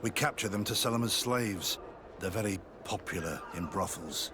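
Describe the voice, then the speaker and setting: A male actor plays a guilt-ridden sergeant turned secret informant in a video game. His low voice is earnest and guarded, as if confiding. Outdoor noise runs clearly behind him. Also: normal speed, natural voice. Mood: remorseful. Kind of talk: video game cutscene acting.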